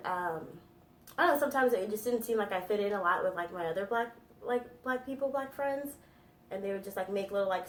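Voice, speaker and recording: A woman in her twenties answering interview questions in a chatty, thoughtful way with many fillers. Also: medium pitch. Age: 20s. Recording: roomy echo, from a distance, faint noise.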